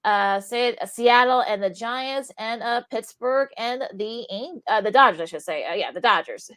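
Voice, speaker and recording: A woman co-hosts a sports radio show into a studio mic, her voice a little high. She talks things through as she goes, leaning on fillers and trailing off before she picks the thread back up. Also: expressive, unhurried, fairly bright tone, clear, resonant tone. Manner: hesitant, many fillers, thinks aloud. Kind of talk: casual sports radio chat.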